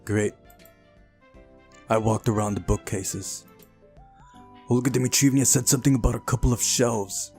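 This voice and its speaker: A man's voice: mid-range voice, normal speed, darkish tone, faint music behind. A man hosting a visual novel playthrough, reading the narration aloud and adding casual commentary. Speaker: let's play host.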